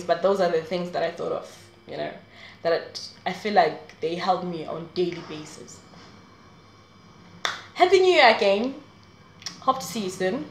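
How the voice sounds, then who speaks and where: A woman's voice: medium pitch, roomy sound, a little hiss or hum, low-quality recording. A woman in her twenties talking straight to camera in a casual, rambling advice vlog, laughing often. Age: 20s. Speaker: vlogger. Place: small room indoors.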